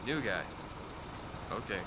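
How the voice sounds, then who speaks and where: A man's voice: lowish voice, animated, slow pace. An actor playing a polite, hesitant new army private, deferential to his superior, in a video game. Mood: unsure, polite. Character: polite, unsure male army private, newcomer. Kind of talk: video game military acting. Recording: loud vehicle and outdoor noise behind.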